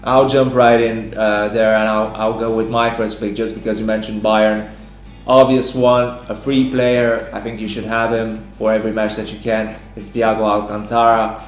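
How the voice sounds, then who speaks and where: A man hosting a casual sports chat with a slightly hoarse voice. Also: fairly low pitch, flat delivery, normal speed, hardly any pauses. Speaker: host. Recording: roomy echo, music underneath.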